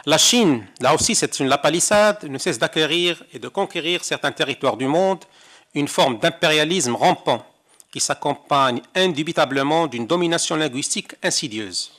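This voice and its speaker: An older man from Morocco delivers a prepared seminar talk. His voice sits a little high, and his delivery is measured and rhetorical, with ironic asides. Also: animated, fairly bright tone. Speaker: conference interpreter giving a talk. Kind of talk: reading a prepared speech. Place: seminar hall.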